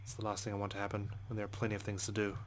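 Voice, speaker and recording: Low-voiced man reading game narration aloud. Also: monotone, rapid-fire, a little hiss or hum. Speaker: let's play host. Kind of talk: reading visual novel narration aloud with side comments.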